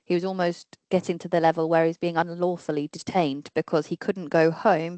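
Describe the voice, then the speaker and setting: A woman with a British accent, a speech and language therapist answering questions at a board meeting in a plain, halting way with fillers. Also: lowish voice, a little mellow, dry recording. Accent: British English. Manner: plain-spoken, with frequent fillers and self-corrections.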